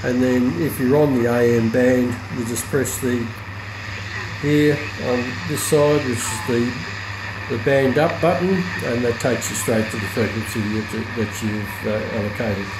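A man talks through a hands-on demonstration at a slow, deliberate pace. His delivery is informal and hesitant, with frequent pauses mid-thought. The room echoes around his medium-pitched voice, with clear noise behind it. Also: steady tone. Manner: hesitant, unscripted, pausing often mid-sentence. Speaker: radio hobbyist reviewer. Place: indoor room with hard countertop. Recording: roomy echo, clear background noise.

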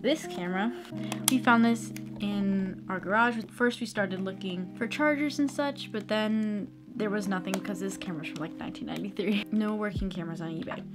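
A woman's voice: mid-range voice, normal speed. A young American woman explaining things in a casual, chatty vlog style, recorded indoors in a small room. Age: young adult. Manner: chatty, informal. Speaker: video tutorial presenter. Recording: music playing behind the voice. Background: plucked guitar music.

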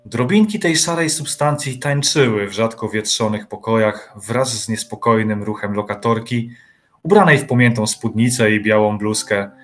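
A man's voice: on the low side, moderate pace, fairly bright tone. A man reading scripted literary narration as the narrator of an audio drama. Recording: music behind the voice.

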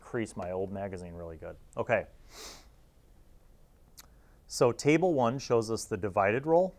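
Male host, forties, slightly deep voice. Casual, opinionated, conversational delivery, plain-spoken and blunt. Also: lively intonation, a little slow, a little mellow. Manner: conversational, blunt, often ends phrases with "right?". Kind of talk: casual opinionated review monologue. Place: small dark indoor studio. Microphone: lapel mic.

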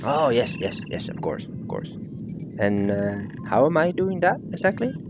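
A man's voice: mid-range voice, sing-song, a little slow, background noise. A man playing a muddled, eccentric ship's doctor who dithers dreamily, in a sci-fi audio drama. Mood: befuddled.

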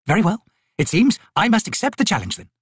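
Grand, flirtatious fantasy sorceress as a male narrator plays her, imperious and lilting, high, very animated delivery. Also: quick pace, slightly rough, no room sound, studio quality, full character voice. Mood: challenging. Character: haughty, teasing noble sorceress, female, flirtatious and grand.

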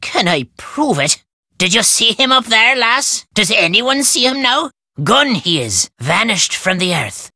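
An actor playing a crotchety, superstitious old Irish caretaker in a video game, telling tales in a folksy, ominous, emphatic way. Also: male voice, sits high, sing-song, unhurried, bright, forceful tone, dry recording, clean studio sound, heavily acted. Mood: ominous storytelling. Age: older. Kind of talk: theatrical storytelling game dialogue.

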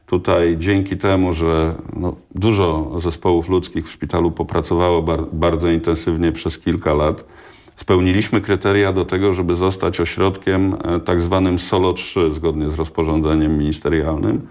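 Studio guest, man in his 60s, very low voice, slow measured answers full of hesitations. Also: flat delivery, darkish tone. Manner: measured, hesitant, many filler sounds. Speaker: hospital director, studio guest. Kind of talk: radio studio interview answers. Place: small TV studio.

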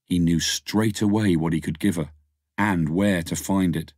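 Low-voiced man narrating, close and dry. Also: fairly even intonation, measured pace, a little mellow, no room sound. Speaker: audiobook narrator. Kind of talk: scripted narration of a crime short story.

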